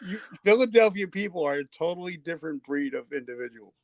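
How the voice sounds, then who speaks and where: An older man from New Jersey hosting a podcast, with a gravelly, slightly high voice. The sound is dry and close, and he rambles along in a loose, jokey, unscripted way. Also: animated, quick pace, no echo, poor audio. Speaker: podcast host.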